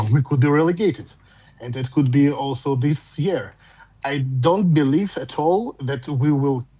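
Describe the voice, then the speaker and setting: A man with a Russian accent, calling in to a fan show, speaking English as a second language in halting phrases with frequent restarts. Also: mid-range voice, dark tone. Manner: halting, repeats words and restarts while searching for English. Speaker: caller. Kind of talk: phone-in fan chat.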